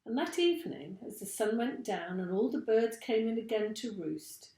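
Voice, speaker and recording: A woman reading a children's story aloud like a teacher, with expressive, deliberate narration. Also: fairly high pitch, reverberant.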